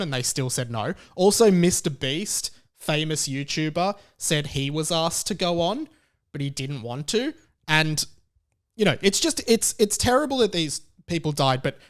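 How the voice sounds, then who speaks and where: A man with a fairly high-pitched voice hosts a podcast. He gives casual opinion commentary, talking in a loose, rambling, conversational way and leaning emphatically on his points. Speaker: podcast host.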